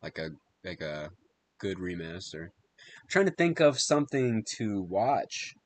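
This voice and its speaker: A man with a fairly low voice chats casually as a live streamer. His delivery is slangy and unscripted, with easy amazement and occasional cursing as he reacts to what he watches. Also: sing-song, measured pace. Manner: slangy, easily amazed, swearing.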